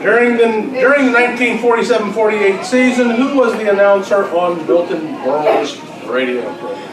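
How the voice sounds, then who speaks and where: A man with a notably high voice hosts a live quiz. The microphone sits away from him in an echoey room, and a loud crowd surrounds his voice. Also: moderate pace, recorded from a distance. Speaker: quiz master. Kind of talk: live quiz hosting at a club luncheon. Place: indoor luncheon room with an audience. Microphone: distant microphone in the room. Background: loud crowd chatter.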